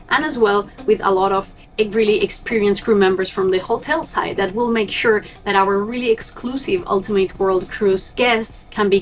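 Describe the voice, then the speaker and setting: Upbeat young woman from Argentina vlogging. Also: medium pitch. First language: Spanish. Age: young adult. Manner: upbeat and chatty, warm direct address to viewers. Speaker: cruise ship crew member and vlogger. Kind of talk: casual vlog to camera.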